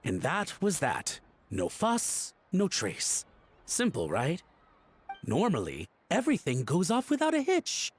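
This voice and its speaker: An actor playing a nervous, guilty antique collector in a video game, melodramatic and easily flustered. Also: male voice, high voice, huge pitch swings, takes it slowly, husky, exaggerated voice. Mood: sheepish, confiding. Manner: flustered stammering and melodramatic pleading. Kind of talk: voice-acted game dialogue.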